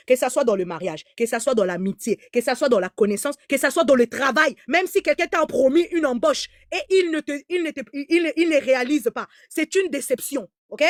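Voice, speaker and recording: A woman's voice: high-pitched, no room sound, studio quality. A woman giving heated live commentary in an emphatic, repetitive way, stammering as she restarts, whose first language is German. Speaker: online commentator.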